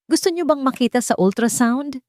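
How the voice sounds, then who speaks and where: A woman voicing an elderly male doctor in a romance story, polite and halting. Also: high voice, lively intonation, a little mellow, no echo, studio quality, voice pushed up. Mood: polite, pleased. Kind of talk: audio romance story dialogue.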